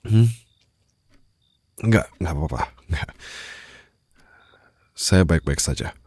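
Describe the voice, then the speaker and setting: A man acting a stern but caring mafia boss in an ASMR roleplay, speaking formally and haltingly into a close microphone. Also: low voice, animated, a little mellow, breathy edge, dry recording, heavily acted. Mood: serious, measured. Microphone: close studio-style microphone, dry.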